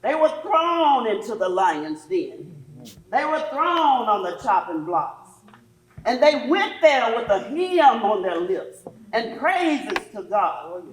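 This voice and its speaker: A woman's voice: fairly high pitch, big pitch swings, takes it slowly. Woman playing a fervent preacher in echoey hall. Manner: rhetorical questions in rhythmic, building phrases. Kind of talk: staged readers theatre sermon.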